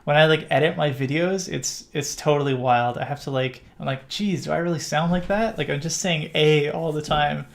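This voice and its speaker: Middle-aged Canadian livestream host, gravelly voice, loose and rambling, lots of ums. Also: male voice, on the high side, moderate pace, hardly any pauses. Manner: rambling with many fillers and restarts. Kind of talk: casual live Q&A chat.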